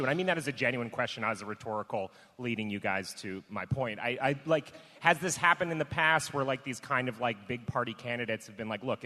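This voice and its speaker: A man's voice: mid-range voice, sharp tone. A male comedian chatting casually as a panelist, in a tentative way full of filler words. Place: indoor stage before a live audience. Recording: echoey hall, live stage.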